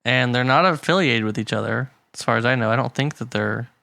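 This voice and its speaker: A man's voice: medium pitch, a little fast, creaky, pushed and bright, dry recording. A man co-hosting a casual podcast chat, rambling with frequent restarts and laughing often. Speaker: podcast co-host. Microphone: pop filter.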